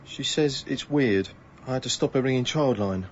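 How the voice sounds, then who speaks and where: An actor playing an apologetic, awkward young paperboy in a radio comedy, hesitant and matter-of-fact. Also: male voice, medium pitch, moderate pace, natural voice. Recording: muffled, faintly noisy radio recording.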